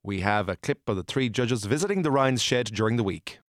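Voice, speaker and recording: Guy as keen tv critic on local radio, talks fast, close dry sound. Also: medium pitch, animated, no room sound, natural voice. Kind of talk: studio chat in comedy radio play.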